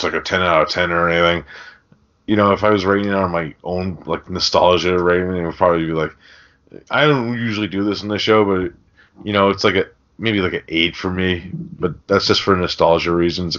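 A low-voiced man talks casually as a podcast host. He rambles and swears freely, and the recording is dull and lo-fi. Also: fairly bright tone. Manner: rambling, profane. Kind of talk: casual film discussion. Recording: dull, lo-fi audio.

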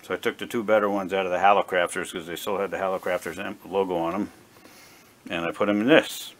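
A man giving a casual, unscripted narration at a workbench, plain-spoken and halting as he thinks aloud like a hobbyist repair vlogger. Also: sits low, steady tone, a bit bright. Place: indoor home workbench. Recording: clear background noise, workshop ambience.

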